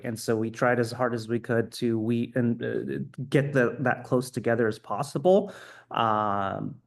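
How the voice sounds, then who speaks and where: A man's voice: on the low side, creaky. A man answering as a film director at a festival Q&A, thoughtful and full of ums and restarts.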